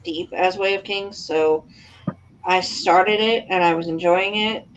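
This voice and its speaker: A woman's voice: fairly low pitch, steady tone, measured pace. A woman talking informally and chattily in a casual book discussion. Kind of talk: casual book club chat. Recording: dull lo-fi audio, background noise.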